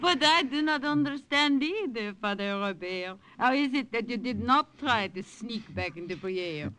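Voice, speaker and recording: An actress playing a gentle, motherly French village widow in a radio drama, speaking with a French accent. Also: on the high side, lively intonation, measured pace. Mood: curious, hospitable. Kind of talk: 1950s radio drama acting. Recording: hissy old radio recording.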